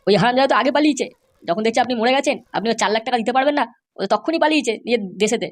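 A man's voice: extremely high pitch, animated, rapid-fire. A man voicing a grumbling, crude but loyal gang underling in a comedy cartoon. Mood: puzzled, accusing.

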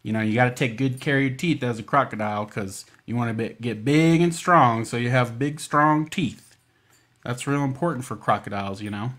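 A man reads picture books aloud as a children's storytime host. His pitch is average, and he drops in playful, casual asides in simple phrasing. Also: brisk, a bit bright.

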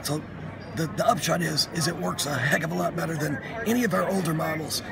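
A man's voice: a little high, a little fast, vocal fry, a crowd in the background. A middle-aged man casually explaining a technical product, recorded on camera at a trade show booth. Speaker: head of product development demonstrating a product. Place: busy trade show floor at an exhibit booth. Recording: very noisy convention hall, announcements behind.

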